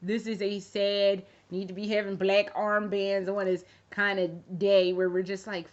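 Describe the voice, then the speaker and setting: A woman's voice: mid-range voice, flat delivery, unhurried. Profane rambling woman vlogger. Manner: rambling, profane, with jokey asides. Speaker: fashion vlogger. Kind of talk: casual chat to camera. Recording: dull lo-fi recording.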